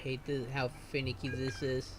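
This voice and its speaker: Low-voiced woman commentating a game. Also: level tone, normal speed, noisy background. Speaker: gaming streamer.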